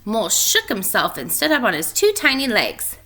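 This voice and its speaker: A woman's voice: medium pitch, wildly swooping pitch, normal speed, bright, forceful tone, slight background noise. A woman reading a children's storybook aloud and talking informally to pupils like a teacher.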